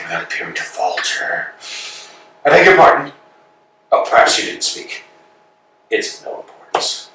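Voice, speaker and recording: An actor in a staged play reading playing a pompous, self-important landowner who swings between blustering shouts and murmured asides. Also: male voice, sits low, a little hiss or hum. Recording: echoey room, mic far off.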